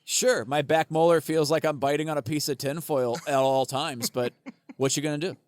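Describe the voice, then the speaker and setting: This man has a fairly high voice and wanders through his stories in a loose, profane way, laughing often as he goes. He sounds dry and close to the mic. He is co-hosting a casual comedy podcast. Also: fairly even intonation, normal speed, dry recording.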